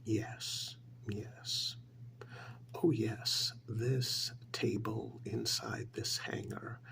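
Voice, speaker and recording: A fussy, courteous official, acted out by a man, speaks in a soft ASMR whisper. His delivery is extremely slow and deliberate, with heavy creak roughening the edges. He sounds formal and methodical, pausing hesitantly as he goes. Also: medium pitch. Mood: polite, businesslike. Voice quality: soft, breathy whisper with gravelly edge. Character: polite, fussy, methodical male tax official auditing non-human clients. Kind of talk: soft-spoken ASMR role-play.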